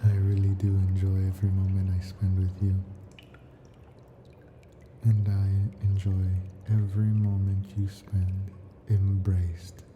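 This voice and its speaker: Man voicing a slow, very dark-voiced ancient dragon. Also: low voice, completely flat delivery, lo-fi sound, a little hiss or hum. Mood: tender, contented. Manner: slow, deliberate, murmuring, drifting into whispers. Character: ancient male dragon, possessive, imperious yet doting captor. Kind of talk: ASMR roleplay, slow intimate character acting.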